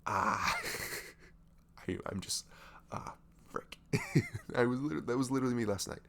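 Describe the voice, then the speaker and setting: A man playing a nervous, dorky best friend confessing love in an intimate ASMR roleplay, halting and stammering, with sighs and soft breathy moments. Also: mid-range voice, lively intonation. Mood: flustered, giddy. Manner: halting, stammering, sighing, breathy laughs, often dropping to whispers. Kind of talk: roleplay ASMR audio drama, intimate and conversational.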